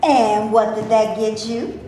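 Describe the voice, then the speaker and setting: A woman's voice: on the high side, steady tone, takes it slowly, dark tone. A woman reading the part of Mabel, a blunt, sassy actress, in a stage reading before a live audience. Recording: echoey hall, faint noise.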